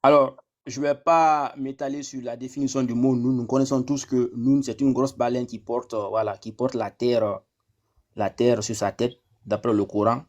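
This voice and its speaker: A man on a live discussion, explaining step by step and reading passages aloud, his voice dropping low as he closes each point. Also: little pitch movement, normal speed, a little mellow, muffled recording. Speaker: debate panelist.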